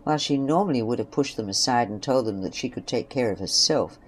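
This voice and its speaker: A woman's voice: a bass voice, lively intonation, brisk, low-quality recording. A woman reads a scripted western story as narrator. Faint ambience and noise sit behind the voice.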